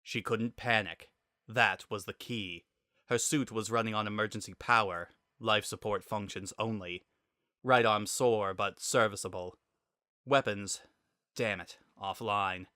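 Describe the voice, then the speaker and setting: A man reads a dramatic story as its narrator. His voice is fairly low with a bright, forceful edge, and the recording is dry and close. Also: fairly even intonation, a little slow, dry recording.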